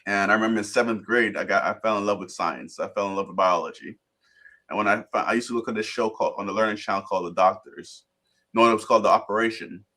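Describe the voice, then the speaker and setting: A man in his thirties from Guyana, a guest telling his life story on a video call, relaxed and rambling with restarts. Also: fairly low pitch, fast talker. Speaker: interview guest. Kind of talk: casual storytelling interview.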